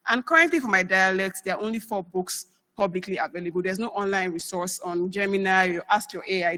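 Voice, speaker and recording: A woman's voice: mid-range voice, pushed and bright. A young woman giving a chatty conference research talk, full of fillers. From Nigeria. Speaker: researcher presenting.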